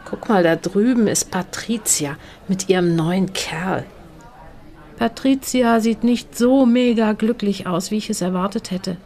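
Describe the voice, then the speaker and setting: A woman's voice: medium pitch, unhurried, dark tone. Woman narrating a short story. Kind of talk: scripted first-person story narration with acted dialogue.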